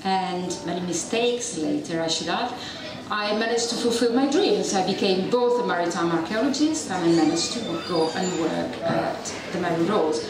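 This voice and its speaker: A woman's voice: lowish voice, normal speed, recorded from a distance. A middle-aged woman from Italy with a strong Italian accent, giving a chatty, self-deprecating public lecture on a handheld mic. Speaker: university researcher giving a public talk. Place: dark event venue with audience. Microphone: handheld mic, filmed from a distance. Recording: echoey venue recorded from afar, crowd present.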